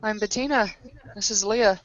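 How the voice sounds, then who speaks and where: A woman voicing an earnest, worried young woman who speaks politely and haltingly in a roleplaying game. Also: medium pitch, lo-fi sound, voice pushed up, natural voice.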